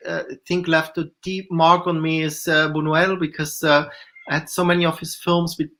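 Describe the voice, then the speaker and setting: Brief: filmmaker guest on a video call, middle-pitched voice, thinks out loud, restarts often. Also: little pitch movement, normal speed. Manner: searching, rambling, restarts. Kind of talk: festival Q&A answers.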